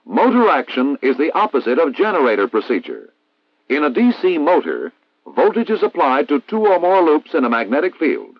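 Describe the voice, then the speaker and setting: An American man reading a scripted instructional narration in a formal, measured, didactic way, as in a 1960s military training film. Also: a little high, very animated, dry recording. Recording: dull vintage 1960s film soundtrack.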